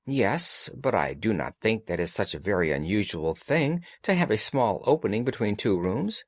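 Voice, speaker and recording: Guy playing the detective's loyal friend in a storybook, plain-spoken, puzzled, expressive pitch, dry sound. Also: normal speed, dry recording. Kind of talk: audiobook character dialogue.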